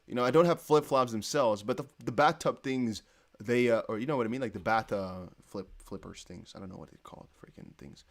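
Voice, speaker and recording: A man's voice: mid-range voice, brisk. A young man whose first language is Dutch, rambling casually on a livestream and reading viewer comments aloud. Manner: rambling, self-correcting, chatty. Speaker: crypto livestream host.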